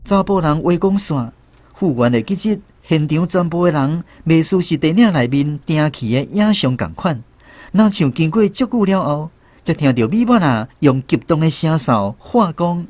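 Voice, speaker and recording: A man with a Taiwanese accent narrating a scripted radio drama in an expressive, dramatic way. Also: on the high side, moderate pace, no room sound.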